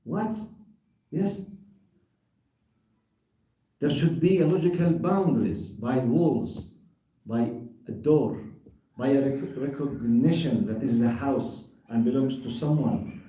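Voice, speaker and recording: A man's voice: mid-range voice, expressive, slow pace, long pauses, mellow. A man giving a religious lesson on Quran commentary, arguing through rhetorical questions and everyday examples. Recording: very echoey room, distant mic.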